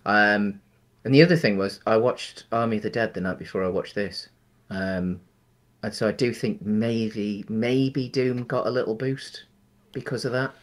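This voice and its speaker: A man in his thirties talks through a film on a home headset, pausing, restarting and filling gaps with ums. His voice sits low. The talk is loose and casual. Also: steady tone. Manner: hesitant, many ums and restarts. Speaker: podcast co-host. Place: home room, indoors.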